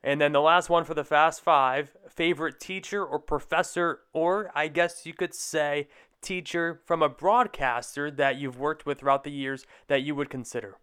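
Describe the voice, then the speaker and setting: A man's voice: fairly high pitch, steady tone, normal speed, a bit bright, clean tone. A young man hosting a podcast, asking his guest questions in a casual conversational style. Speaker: podcast host, interviewer.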